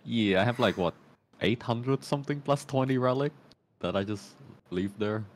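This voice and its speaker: A man's voice: medium pitch, moderate pace, a little hiss or hum. A man chatting casually as a guest on an online voice call, laconic and dryly joking in short phrases. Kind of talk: casual chat. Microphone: Discord voice call. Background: music.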